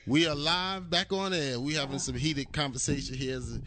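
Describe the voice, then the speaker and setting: A man's voice: on the high side, steady tone, normal speed, bright, forceful tone. A man hosting a casual live radio show, asking blunt, slangy questions.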